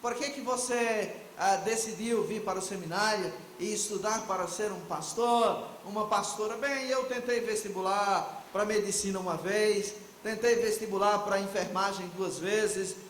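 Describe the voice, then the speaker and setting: A man's voice: high-pitched, moderate pace, hardly any pauses, a bit bright, echoey room, a little hiss or hum, low-quality recording. A man giving a theological lecture at a conference, reflective and posing questions to the audience before answering them himself. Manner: reflective, asks and answers his own questions. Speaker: conference speaker.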